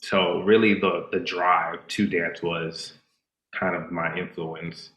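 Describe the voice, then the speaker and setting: A man's voice: low-pitched, level tone, takes it slowly, a bit bright, echoey room, rough recording. A Dominican-born man whose first language is Spanish, a dancer and choreographer talking casually on a podcast, reflective, with many fillers and restarts. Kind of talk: casual interview chat.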